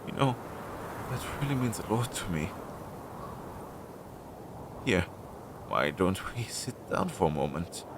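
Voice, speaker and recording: A man's voice: very animated, a little slow, long pauses, heavily acted. A man playing an anxious, insecure but tender crow familiar in an audio roleplay, talking to the listener in a hesitant, earnest way. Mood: relieved, soft. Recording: clearly noisy low-quality recording.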